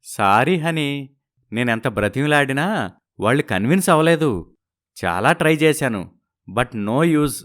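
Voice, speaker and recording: An actor playing a smooth-talking, evasive young husband in a story, placating and persuasive, dropping in English words. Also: male voice, mid-range voice, animated, unhurried, long pauses, a bit bright. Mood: apologetic.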